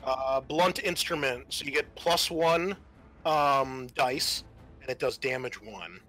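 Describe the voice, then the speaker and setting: A man with a bright, forceful voice at a middle pitch runs a tabletop game. He narrates casually and thinks aloud, with faint music underneath. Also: takes it slowly. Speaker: game master.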